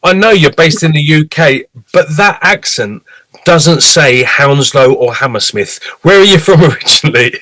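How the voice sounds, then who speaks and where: A man's voice: on the high side, animated, moderate pace, fairly bright tone, dry recording, rough recording. A man hosting a talk show interview, hyping up his guest and joking around. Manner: hype-building, joking, British slang. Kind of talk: enthusiastic interview banter.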